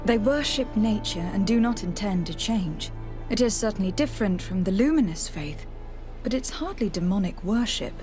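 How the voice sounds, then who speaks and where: Female actor as noblewoman envoy, game dialogue, middle pitch, polite, measured, music behind. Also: expressive, close to an everyday voice. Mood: thoughtful. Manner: courteous, formal, measured. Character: polite, composed young noblewoman envoy on a quest. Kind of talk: video game character acting.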